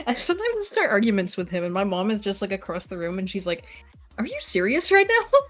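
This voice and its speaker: A woman's voice: medium pitch, wildly swooping pitch, a little fast, faint music behind. A woman chatting casually as a guest on a stream, telling small stories in a playful, wry way. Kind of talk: casual chat.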